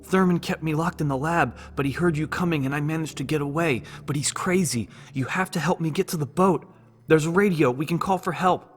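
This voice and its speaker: A man's voice: a little high, a little fast, a little hiss or hum, pitched above the performer's own voice, lightly acted. A man playing a panicked older male lab worker in an audiobook, babbling and gasping as he begs. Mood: panicked, pleading.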